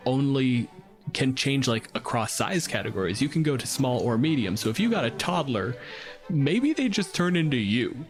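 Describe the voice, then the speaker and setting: A man hosting a casual podcast discussion on tabletop games, analytical and informal, with swearing mixed in. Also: medium pitch. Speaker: podcast host.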